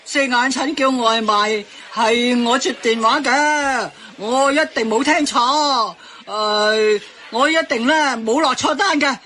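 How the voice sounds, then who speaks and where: An actress playing a defensive, middle-aged Hong Kong teahouse waitress in a radio comedy, insistent and quick to put the blame on others. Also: female voice, high-pitched, bright, forceful tone, slight background noise, full character voice. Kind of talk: Cantonese radio comedy acting.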